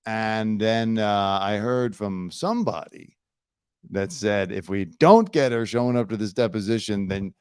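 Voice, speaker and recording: A man hosting a casual talk show, telling stories in a relaxed, chatty way. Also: lowish voice, measured pace, clear, resonant tone, dry recording, clean studio sound. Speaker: host. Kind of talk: casual chat.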